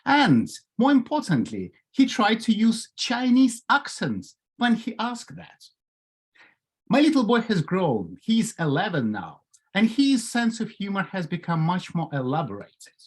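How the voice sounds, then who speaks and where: A man's voice: high-pitched, slightly rough. Ukrainian-accented man telling jokes. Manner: jokey, lively storytelling with pauses. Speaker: club speaker. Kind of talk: humorous prepared speech. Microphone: video call.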